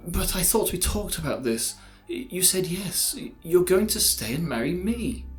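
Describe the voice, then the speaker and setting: A man's voice: sits high, sing-song, normal speed, faint music behind. A man playing a spurned young suitor in an audio drama, pleading and indignant.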